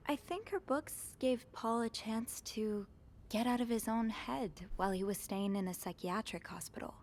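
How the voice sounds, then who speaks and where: An actress playing an inquisitive young hotel maid in a video game, thoughtful and gently playful. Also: female voice, fairly high pitch, normal speed, natural voice. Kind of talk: game voice acting.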